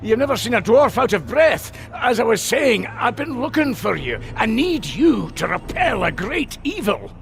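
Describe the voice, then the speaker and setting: A man's voice: extremely high pitch, sing-song, moderate pace, gravelly, few pauses, exaggerated voice. An actor playing a shifty, blustering male dwarf treasure hunter in a comic fantasy game, evasive and full of excuses. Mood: flustered, defensive. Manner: evasive, self-excusing, hesitant asides.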